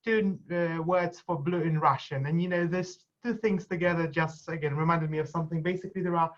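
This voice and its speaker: A man with a Polish accent speaks over a muffled, lo-fi call line. His voice sits slightly high, and he stumbles along, padding nearly every phrase with "you know". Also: steady tone, a bit bright. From Poland. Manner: halting, constant "you know" fillers. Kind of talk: casual chat. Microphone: video call. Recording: muffled video call audio.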